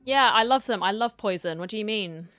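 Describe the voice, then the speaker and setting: Wry woman streamer, mid-pitched voice. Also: a little fast, fairly bright tone. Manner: dry, wry asides. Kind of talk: casual game reaction commentary.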